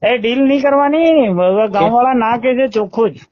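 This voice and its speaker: High-voiced, hesitant man on a muffled call. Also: moderate pace. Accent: rural eastern Gujarat. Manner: hesitant, mostly brief murmured acknowledgements. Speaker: elected local politician. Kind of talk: informal phone conversation. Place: recorded phone call. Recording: muffled, band-limited phone call recording.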